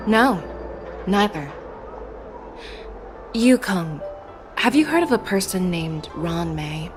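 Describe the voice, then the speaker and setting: A woman's voice: on the low side, animated, takes it slowly, over music. A woman acting as a composed military envoy in a video game, speaking formally and articulately. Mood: businesslike.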